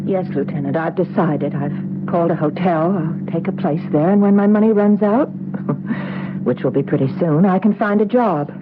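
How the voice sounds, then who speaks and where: An actress playing a young bride whose husband has vanished, pleading and insistent in a 1970s radio drama. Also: female voice, on the low side, normal speed, over loud music, close to an everyday voice. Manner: pleading, insistent, near tears. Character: young bride, shaken and bewildered. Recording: dull old radio broadcast with hiss.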